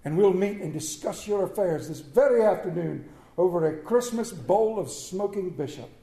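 An elderly miser, suddenly gleeful and full of chuckles, who still plays at being gruff, voiced by a man reading aloud. The voice sits fairly high yet mellow. A roomy echo hangs behind every word. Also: sing-song, a little slow, exaggerated voice. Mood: warm. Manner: chuckling, emphatic, playfully gruff. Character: elderly male miser turned jolly, gleeful.

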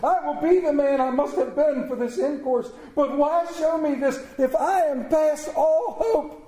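Storybook role: man playing a repentant old miser, very high, excitable swoops, exclamatory and stammering, echoey room. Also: brisk. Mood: desperate pleading. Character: repentant old male miser, desperate, then giddy with joy. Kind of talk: dramatic storybook reading.

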